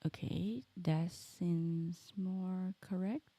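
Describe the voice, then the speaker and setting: Woman, game streamer, casual chat, unhurried delivery, dry close recording. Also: lowish voice, fairly even intonation, warm tone, slightly rough, no room sound.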